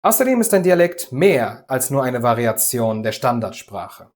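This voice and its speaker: A man from the Düsseldorf area hosts a scripted language lesson. He explains things calmly and clearly at an even, unhurried pace, and his voice sits at a middle pitch. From Düsseldorf area, Germany. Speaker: language teacher, host.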